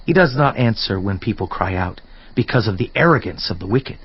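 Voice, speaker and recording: A man's voice: fairly low pitch, animated, brisk, breathy edge. An actor playing an earnest, indignant biblical man, declaiming in an oratorical dramatized scripture reading with rhetorical questions. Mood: reproving, questioning. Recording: dull lo-fi audio with music. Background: background music.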